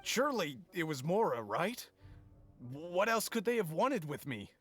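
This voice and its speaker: A man's voice: high voice, big pitch swings, measured pace, breathy edge, soft background music, full character voice. A man playing a timid, shaken merchant in a video game, talking in an anxious, cautioning way. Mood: unsure, flustered.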